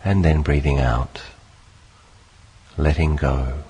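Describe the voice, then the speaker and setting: A man leading a guided meditation, speaking calmly in short, unhurried phrases with long pauses between them. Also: a bass voice, slightly rough. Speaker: meditation teacher.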